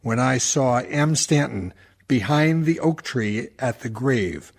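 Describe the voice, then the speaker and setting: A man's voice: mid-range voice, lively intonation, takes it slowly, vocal fry, full character voice. A man playing a kindly, weary, lovelorn town doctor speaking from the grave in an earnest radio play monologue. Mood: tender, anguished.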